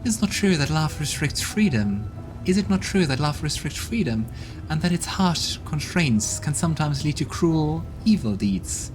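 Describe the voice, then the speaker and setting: A man's voice: on the high side, expressive, moderate pace, voice pushed up, close to an everyday voice, poor audio. A man voicing a curious, playful female demon in a game playthrough, with music in the background. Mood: probing.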